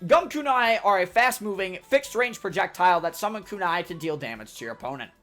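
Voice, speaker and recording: Young guy gaming youtuber, loud and pumped, voice bouncing all over, faint music under it. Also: high-pitched, fairly quick. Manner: loud, energetic delivery. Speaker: gaming YouTuber giving a weapon guide. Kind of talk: scripted game tutorial narration.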